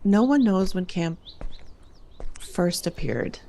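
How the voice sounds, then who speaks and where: A woman's voice: fairly low pitch, big pitch swings, slow pace, vocal fry, mellow, full character voice. A woman playing a friendly, reassuring summer camp director who encourages her campers in an audio drama. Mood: hushed storytelling. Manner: encouraging, addresses listeners as campers.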